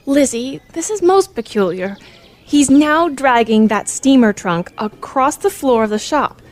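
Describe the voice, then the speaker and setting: A woman's voice: high-pitched, animated, a little slow, soft background music. A woman playing an earnest, inquisitive teenage girl who narrates and talks in a formal, proper way in a radio play. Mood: baffled, observant. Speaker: narrator and sidekick.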